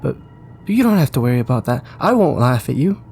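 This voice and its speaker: A man's voice: mid-range voice, very animated, fast, vocal fry, a little mellow, husky, exaggerated voice. A man voicing a small, kind-hearted, shy child in an audio drama, speaking hesitantly and stumbling over words. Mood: reassuring, shy. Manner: hesitant, stammering and repeating words. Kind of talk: audio drama character dialogue.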